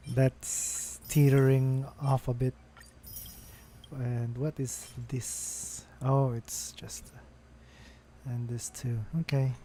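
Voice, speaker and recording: A man's voice: mid-range voice, little pitch movement, drawn-out words, dark tone, slight background noise. A man casually commenting while playing a game, reading item names aloud as he goes. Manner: reads game text aloud, musing to himself. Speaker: streamer. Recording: game music under the voice.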